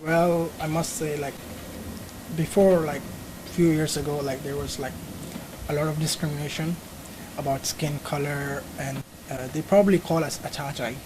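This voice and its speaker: A man interviewee speaking haltingly, with many fillers between his words. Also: on the high side, steady tone. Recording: distant mic with air conditioning hum.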